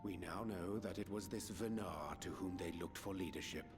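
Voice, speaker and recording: A deep-voiced, grave scholar from a fantasy game, acted by a man, recounts old lore in measured, archaic phrases. His words ring through a long reverb with music underneath. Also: lightly acted. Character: solemn scholarly man recounting ancient history. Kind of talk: formal archaic video game dialogue.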